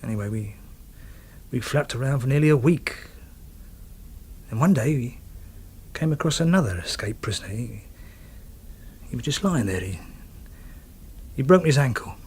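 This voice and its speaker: A former soldier in a radio drama, telling his war story with grim understatement. His voice is husky and rough-edged, and he proceeds haltingly, with dry, bitter wryness. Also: mid-range voice, lively intonation. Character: former soldier grimly recounting a wartime killing.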